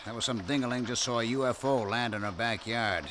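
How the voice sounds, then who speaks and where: An actor playing a gruff, world-weary police lieutenant in a radio drama, wry and streetwise. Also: male voice, mid-range voice, fairly quick, noisy background, close to an everyday voice. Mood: wry, brusque.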